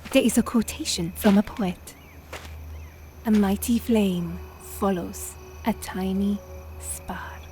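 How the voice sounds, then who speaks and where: An actress playing a grave, determined Italian partisan fighter in a video game, speaking earnestly and softly with an Italian accent. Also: female voice, mid-range voice, faint music behind, lightly acted. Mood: solemn, grieving. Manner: hushed, earnest, measured. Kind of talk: restrained dramatic game cutscene acting.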